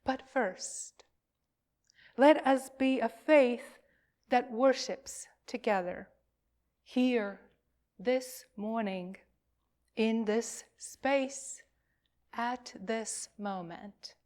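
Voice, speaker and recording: A woman minister leading worship, delivering poetic words in a solemn, emphatic and deliberate way. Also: on the high side, a little mellow. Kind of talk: call to worship, poetic reading.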